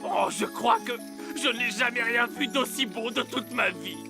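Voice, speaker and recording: A woman's voice: on the high side, measured pace, creaky, over music. A woman voicing a greedy, grumpy gnome in a cartoon dub, dry and savouring. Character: greedy, grumpy gnome who loves food.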